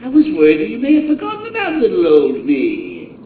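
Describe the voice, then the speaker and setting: A man's voice: high voice, huge pitch swings, brisk, echoey room, distant mic, phone-quality sound, slight background noise. An actor playing a gleeful, murderous male clown criminal in a video game, taunting like a showman.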